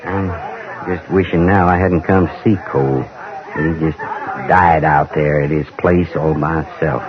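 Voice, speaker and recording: Low-voiced, weary old-West raider. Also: male voice, steady tone, a crowd in the background. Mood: somber, resigned. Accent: American. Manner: halting, rambling, plain-spoken. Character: brooding, weary ex-raider cowboy reminiscing about war. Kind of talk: old-time radio western acting. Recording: dull vintage radio recording.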